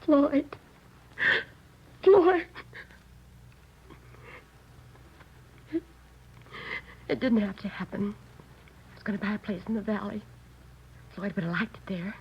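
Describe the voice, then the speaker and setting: A worried American woman in her thirties, played by a radio actress speaks hesitantly, pleading and protective, at a medium pitch. The old radio drama recording is muffled, with faint noise. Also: very animated, moderate pace, pauses often, close to an everyday voice. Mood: distressed. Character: anxious, protective seamstress in her thirties, older sister. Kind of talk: 1950s radio drama acting.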